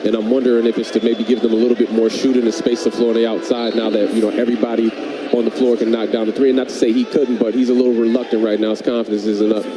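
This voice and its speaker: A man's voice: mid-range voice, level tone, fast. A man giving conversational color analysis on a live basketball broadcast, with arena noise behind him. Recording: noisy broadcast with arena ambience.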